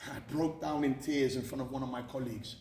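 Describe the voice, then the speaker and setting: A man's voice: mid-range voice, steady tone, brisk, darkish tone. British man speaking in an echoey room. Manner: candid, emphatic, repeats phrases for effect. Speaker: motivational speaker at a college. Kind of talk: personal motivational talk to students. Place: indoor room at a college. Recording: echoey room from far off, faint noise.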